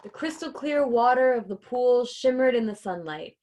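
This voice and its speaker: A woman's voice: fairly high pitch, lively intonation, moderate pace, darkish tone. A woman hosting a live read-along, chatting casually and playfully and reading a storybook aloud.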